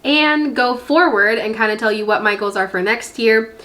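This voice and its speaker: A woman's voice: fairly high pitch, normal speed, pushed and bright. A woman with a nasal voice, congested from a head cold, talking casually to camera as a vlog host.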